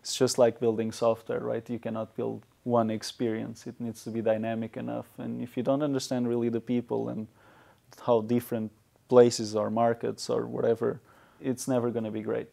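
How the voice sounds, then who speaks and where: A man's voice: mid-range voice, little pitch movement, moderate pace, gravelly, warm tone. A man in his thirties with a Portuguese accent, answering interview questions conversationally in a studio. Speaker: interview guest, software tech lead. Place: small indoor talk show studio.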